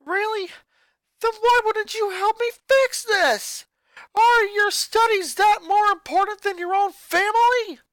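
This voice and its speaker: A man's voice: extremely high pitch, a little slow, no room sound, voice pushed up. A man voicing an angry eleven-year-old boy who rants and accuses his sisters in a fanfic reading. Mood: furious. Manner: ranting, accusing, voice rising to shouts.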